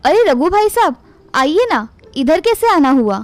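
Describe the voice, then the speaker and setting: A woman voicing a brisk, thrifty village mother-in-law who runs a small food trade, in a storybook tale. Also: sits high, sing-song. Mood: welcoming, businesslike. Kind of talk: storybook character dialogue.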